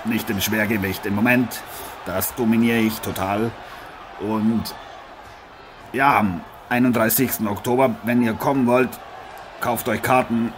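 A man playing a proud, cocky heavyweight boxing champion in an improvised radio-play interview, halting and self-important. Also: fairly low pitch, fairly even intonation, natural voice. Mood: boastful. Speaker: guest athlete being interviewed. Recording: noisy, with arena ambience behind.

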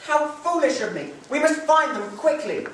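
Shrill scolding mother in a fairy tale, put on by a male narrator, high voice, distant in a booming room. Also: normal speed, sharp tone, slight background noise. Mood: alarmed. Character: flustered scolding poor mother, woman. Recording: very echoey room, off-mic.

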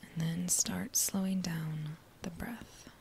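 A woman guiding a body scan meditation in a soft, breathy near-whisper, with a gentle, soothing manner and unhurried phrases. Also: on the low side. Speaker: meditation guide. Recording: faint background noise.

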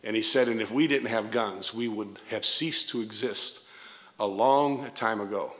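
A man's voice: fairly low pitch, measured pace, a bit bright. Older man preaching a folksy sermon. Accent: Midwestern American. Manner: folksy storytelling preacher, emphatic asides. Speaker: pastor. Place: church sanctuary, indoors. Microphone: pulpit microphone.

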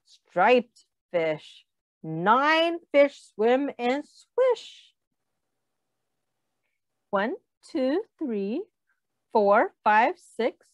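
Slow, sing-song woman reading to children. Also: a little high, dry recording, dull audio. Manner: deliberate, explaining, asks the listener questions. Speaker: teacher reading a picture book aloud. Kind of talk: read-aloud for young children.

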